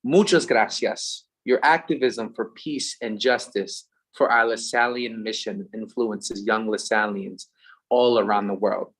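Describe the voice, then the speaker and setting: A man's voice: on the low side, measured pace, fairly bright tone. A man with a Philadelphia accent co-hosting an online event, giving humble thanks mixed with short Spanish phrases and casual asides. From USA.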